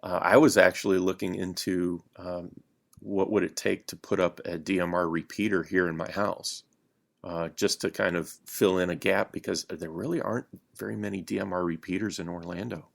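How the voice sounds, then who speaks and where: Podcast guest guy, low voice w vocal fry, halting, pauses a lot, just chatting. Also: steady tone. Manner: halting, pausing often mid-sentence. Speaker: podcast guest, radio hobbyist. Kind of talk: unscripted casual chat.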